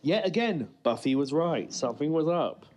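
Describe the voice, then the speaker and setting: A man's voice: fairly high pitch, expressive, moderate pace, a little mellow, a little hiss or hum. A man co-hosting a podcast, casually chatting and commenting along while watching an episode. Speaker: podcast co-host.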